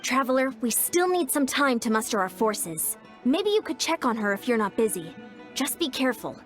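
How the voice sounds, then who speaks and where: A cautious, dutiful warrior for a video game. Her voice is somewhat high with lively intonation, and she sounds serious and concerned. Music plays in the background. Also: moderate pace.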